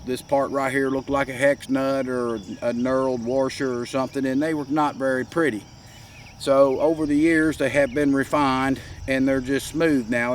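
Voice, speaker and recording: A man with a head cold, hoarse and congested, giving a folksy step-by-step workshop demonstration. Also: medium pitch, distant mic, slight background noise. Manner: folksy, plain-spoken, explains step by step. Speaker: gunsmith demonstrating a product.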